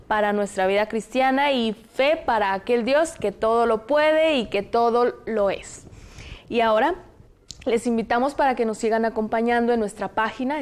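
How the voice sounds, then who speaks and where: A young woman from Zacatecas with a Mexican Spanish accent, hosting a studio discussion on a lapel mic in a TV studio, speaking in a measured, explanatory way. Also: fairly high pitch, a little mellow. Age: young adult. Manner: measured, explanatory, reads passages aloud. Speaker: host. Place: television studio, indoors.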